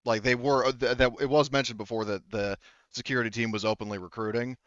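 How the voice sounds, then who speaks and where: A man with a smooth, fairly low voice runs a tabletop roleplaying game. He explains things casually and haltingly, and the recording is dry and slightly dull. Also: fairly even intonation, fairly bright tone, no echo. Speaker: game master.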